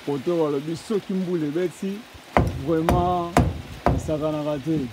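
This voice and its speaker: A man's voice: on the high side, fairly even intonation, moderate pace, over loud music, low-quality recording. A man gives a casual field report outdoors in open grassland. He films on a handheld phone and switches between Lingala and French, chatty and repeating words. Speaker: reporter presenting a building project.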